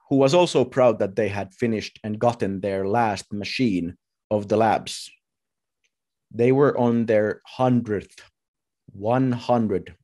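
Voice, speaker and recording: A man in his thirties giving a personal talk as a speaker, telling his story in short, halting, deliberate phrases. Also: lowish voice, a little mellow. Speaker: conference speaker. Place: indoors at home, video call.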